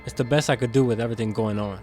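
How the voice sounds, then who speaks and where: A man's voice: medium pitch, rapid-fire, a little mellow, faint music behind, natural voice. A man playing a guarded, conscience-stricken demon in an audio drama, earnest and defensive in manner. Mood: discreet, earnest. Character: guarded, conscience-stricken demon insider smuggling secrets to captive.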